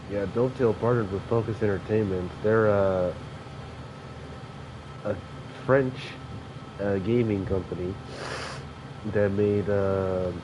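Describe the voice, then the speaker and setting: A young man sniffling from allergies, rambling casually with fillers as he commentates a train sim game. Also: low-pitched. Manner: rambling, loose, with frequent fillers and self-corrections. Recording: dull lo-fi mic with clear background noise.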